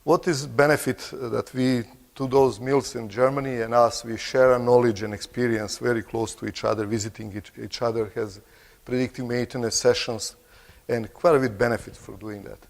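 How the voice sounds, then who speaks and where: A middle-aged man with a Croatian accent giving a conference presentation, halting and restarting phrases and clearing his throat now and then. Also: mid-range voice, fairly even intonation. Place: large conference hall. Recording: long hall reverb.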